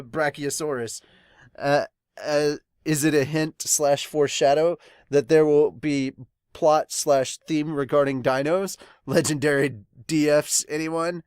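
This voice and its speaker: A man's voice: on the high side, fairly even intonation, unhurried, darkish tone, clean tone, dry recording. Casual male podcaster with a dry sound. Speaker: podcast host and reviewer. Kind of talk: casual chat, reading out and reacting to fan comments.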